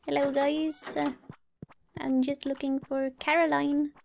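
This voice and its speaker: High-voiced woman chatting through a game. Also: level tone, unhurried, vocal fry, darkish tone, slight background noise. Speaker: gaming video host. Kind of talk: casual let's play commentary, reading dialogue aloud. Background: game music.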